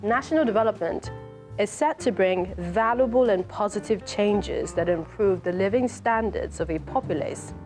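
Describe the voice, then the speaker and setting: A woman, an author reading from her book and commenting on it in an explanatory, measured way. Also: medium pitch, a little mellow. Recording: music playing behind the voice. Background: music.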